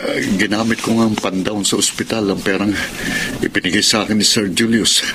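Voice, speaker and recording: Worried humble dad, male actor, Filipino radio drama: lowish voice, deferential, noisy recording. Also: fairly even intonation, a little fast, natural voice. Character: humble, worried working-class father and driver.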